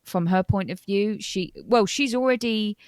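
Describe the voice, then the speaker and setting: A woman's voice: medium pitch, normal speed, darkish tone, smooth, no echo. Rambling woman co-host, dry sound. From Europe. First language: not English. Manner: rambling, self-interrupting, giggly. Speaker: podcast co-host. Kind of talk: casual chat. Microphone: remote call.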